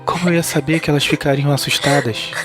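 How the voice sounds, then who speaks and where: A man's voice: fairly high pitch, fairly even intonation, fast, a bit bright, faint music behind, close to an everyday voice. A man playing a reassuring father from the future in an audio drama. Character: reassuring futuristic father explaining to his children.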